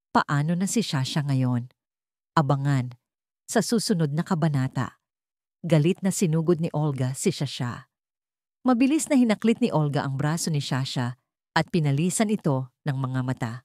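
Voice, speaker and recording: A woman's voice: low voice, darkish tone, dry recording, studio quality. A woman reading scripted dramatic fiction as a storyteller. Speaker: story narrator.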